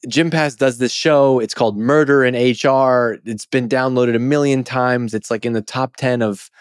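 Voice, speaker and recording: A man's voice: medium pitch, steady tone, moderate pace, clear, resonant tone, no echo, clean studio sound. A man hosting a podcast interview in casual chat, informal and emphatic, often restarting a thought mid-sentence. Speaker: podcast host.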